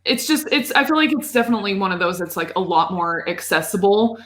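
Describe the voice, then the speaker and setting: A woman's voice: mid-range voice, lively intonation, quick pace, a bit bright. A woman co-hosting a casual group chat about video games. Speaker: podcast co-host.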